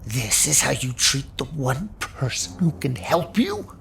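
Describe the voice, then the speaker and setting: An actor in a radio drama playing a menacing crime boss with a gravelly voice and a deliberate, coldly polite way of threatening. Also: male voice, medium pitch, sing-song, a bit bright, slight background noise, exaggerated voice. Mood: menacing anger. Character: menacing, smooth-mannered crime boss, adult man, mockingly polite.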